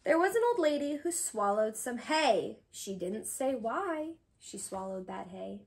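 A woman around her thirties reading a children's picture book aloud, expressive and sing-song, with drawn-out pauses for young listeners. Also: on the high side. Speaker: read-aloud host, tutor. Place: small indoor room with bookshelves.

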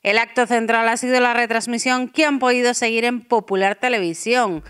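Woman reading local news, higher voice. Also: moderate pace, clear, resonant tone. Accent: Spanish from Spain. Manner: steady read-aloud delivery. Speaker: news presenter. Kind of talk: scripted news narration.

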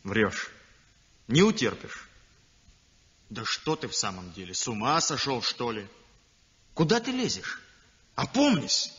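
A man's voice: mid-range voice, big pitch swings, a little slow, long pauses, fairly bright tone. An actor playing a blunt, pragmatic male painter who scoffs at his friend's choices in a 1960s radio drama. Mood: incredulous. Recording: dull old radio recording with long reverb.

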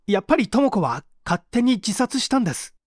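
Man acting an agitated, high-pitched male suspect. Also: big pitch swings, brisk, no echo, clean studio sound. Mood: anguished protest. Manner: agitated, pleading, protesting. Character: distressed, defensive male murder suspect under interrogation. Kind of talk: dramatic audiobook reading.

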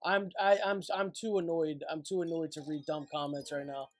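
A man's voice: on the high side, normal speed. A man in his twenties hosting a casual livestream from his room, talking bluntly and swearing with an irritated edge. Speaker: livestream host. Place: small dim gaming room at home.

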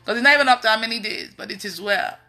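Bright-voiced, high-pitched Nigerian woman preacher. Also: very fast. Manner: animated, emphatic, repeats phrases for emphasis. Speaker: online preacher. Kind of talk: casual testimony-style preaching on a livestream. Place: indoors in a room at home.

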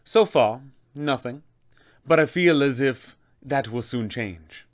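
A man voicing a haughty, scheming elven lich sorceress in a formal, measured, theatrical manner, for a tabletop game villain. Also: medium pitch, lively intonation. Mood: formal, persuasive.

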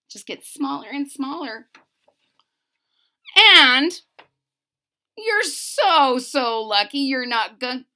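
A woman with a high, bright voice reads a children's story aloud. She takes her time, leaning into the rhymes with emphatic, sing-song phrasing. Her pitch swings widely as she moves between the text and her own chatty asides. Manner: animated, emphatic, sing-song rhyming delivery. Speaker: storyteller reading a picture book aloud. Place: small furnished room at home.

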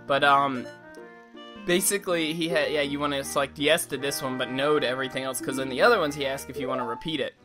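A young man with a slight lisp giving casual, rambling commentary over a video game, swearing freely and now and then breaking into silly high voices. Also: steady tone, fast. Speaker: let's play commentator. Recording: game music loud behind voice.

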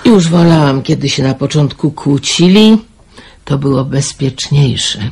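A man's voice: fairly high pitch, expressive, measured pace, creaky, a little mellow, husky, exaggerated voice. A man voicing a worried elderly mother in an amateur radio play, sighing and fretful.

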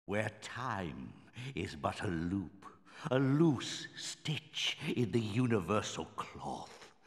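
An actor playing an ominous prophetic narrator in a fantasy game, reciting verse in a portentous, deliberate manner. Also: male voice, lowish voice, animated. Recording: long cavernous reverb.

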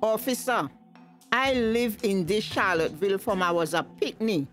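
A woman's voice: mid-range voice, moderate pace, faint music behind, lightly acted. An actress playing a defensive village mother in a radio drama, with a Caribbean accent. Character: defensive village mother under police questioning.

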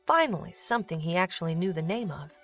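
A woman's voice: on the low side, sing-song, quick pace, faint music behind. A woman giving scripted narration for a storybook-style audio drama.

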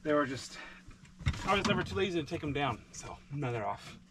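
A man's voice: a little high, big pitch swings, a little fast, very noisy. A man chatting offhandedly on his sailing vlog, laid-back and easygoing. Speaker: sailing vlogger. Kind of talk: casual vlog chat. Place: outdoors on a sailboat.

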